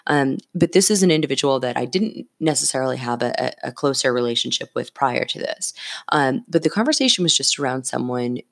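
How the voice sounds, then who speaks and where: Creaky-voiced woman podcaster, candid. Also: very deep voice, animated, fairly quick, a bit bright. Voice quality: slightly scratchy early-morning voice. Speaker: podcast host. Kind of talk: casual solo podcast monologue.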